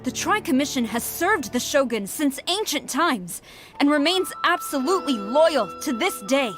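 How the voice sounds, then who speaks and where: A woman's voice: high voice, sing-song, moderate pace, music in the background. A woman playing a stern, proud, loyal army general in an anime video game, formal and commanding. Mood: indignant.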